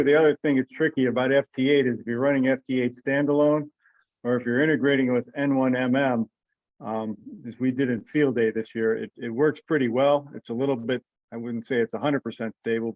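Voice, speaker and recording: A man leads an informal teleconference in a medium-pitched voice. The sound is dry and close, slightly muffled like conference call audio. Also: fairly even intonation, brisk, dry recording. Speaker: meeting leader. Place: remote teleconference.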